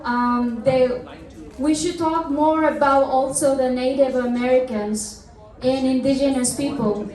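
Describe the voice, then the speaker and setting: A high-voiced woman from Mexico speaks slowly and carefully, thanking the audience in a measured speech. Her voice echoes around a large hall, picked up from a distance. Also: darkish tone. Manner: measured, thankful, with pauses and fillers. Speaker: film producer giving a speech. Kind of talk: short speech at an event. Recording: echoey hall, mic far off.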